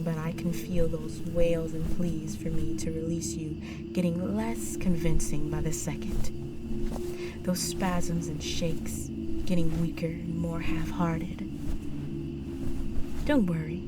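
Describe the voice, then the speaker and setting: A woman voicing a seductive, possessive vampire countess in an intimate roleplay audio drama, slow and coaxing, often slipping into breathy whispers. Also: fairly low pitch. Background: music.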